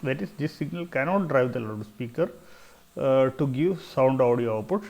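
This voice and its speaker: A man's voice: medium pitch, expressive, normal speed, creaky, darkish tone. A middle-aged man with a South Indian Malayali accent explains slides as a lecturer, often repeating phrases for emphasis. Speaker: engineering lecturer.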